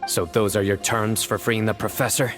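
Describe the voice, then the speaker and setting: A man's voice: on the low side, little pitch movement, moderate pace, music in the background, close to an everyday voice. An actor playing a reserved young male judge in a video game, speaking in a terse, controlled way. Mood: guarded. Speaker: General Mahamatra.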